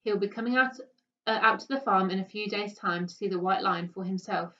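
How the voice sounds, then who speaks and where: A woman's voice: mid-range voice, fairly quick, sharp tone. Woman reading a storybook, distant dull sound. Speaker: teacher reading a story aloud. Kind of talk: expressive storybook narration.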